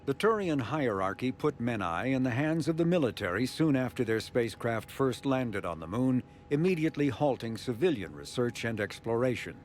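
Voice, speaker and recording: A man reading scripted encyclopedic narration in a formal, measured, informative manner. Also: mid-range voice. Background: faint music.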